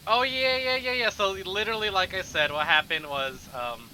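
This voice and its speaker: A man's voice: high-pitched, moderate pace, sharp tone, a little hiss or hum. A man livestreaming a game, chatting casually in slang and swearing freely. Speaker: game streamer and host.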